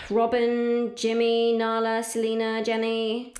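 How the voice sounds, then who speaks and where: A woman's voice: a little high, completely flat delivery, slow, darkish tone. A female actor playing a sulky, scornful teenage daughter in an audio drama, offhand and heard in a roomy space. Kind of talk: naturalistic audio drama dialogue. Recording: roomy, echoing, slightly distant.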